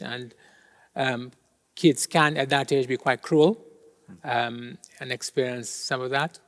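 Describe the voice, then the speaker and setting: A man's voice: medium pitch, a bit bright. Hesitant man in a reverberant church. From Kenya. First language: Gujarati. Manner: thoughtful, with many ums and pauses. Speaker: interview guest. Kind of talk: interview answers. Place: large church. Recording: long reverb of a large church hall.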